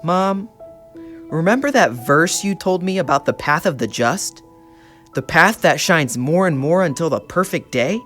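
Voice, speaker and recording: A woman's voice: deep voice, animated, a little slow, a bit bright, faint music behind. A woman voicing an earnest, polite young boy in a children's puppet show.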